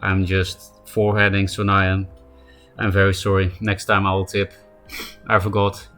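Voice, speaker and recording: A man's voice: sits low, monotone, sharp tone, faint music behind. A man with a Polish accent streaming a card game live, chatting casually and answering viewers by name. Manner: chatty, answers chat by name, quick asides. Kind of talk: casual live-stream chat while playing.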